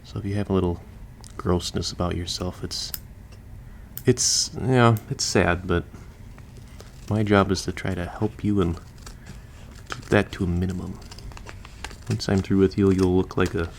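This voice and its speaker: A man's voice: low voice, steady tone, a little slow, creaky, slight background noise. A man playing a chatty, easygoing surgeon who patches up the undead, explaining casually as he works in a listener roleplay.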